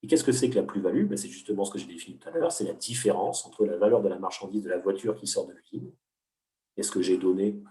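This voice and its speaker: Man, lowish voice, dark mellow tone, didactic, roomy recording. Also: fast. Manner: didactic, many hesitations and restarts. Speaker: economics teacher, guest. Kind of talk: explanatory interview talk. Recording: roomy, from a distance.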